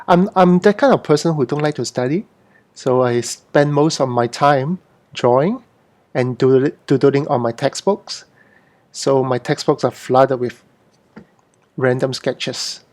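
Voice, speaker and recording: A man's voice: mid-range voice, unhurried, pauses often, darkish tone. A middle-aged man from Hong Kong who later lived in Singapore, talking informally and unscripted as an artist hosting an online Q&A, often repeating and correcting his words. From born in Hong Kong, moved to Singapore as a teen. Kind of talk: informal unscripted livestream talk.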